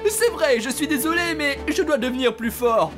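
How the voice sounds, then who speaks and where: A man's voice: very high voice, heavily acted. A man playing a cheerful, eager talking skeleton pirate musician in a comic audio drama. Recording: loud music behind the voice.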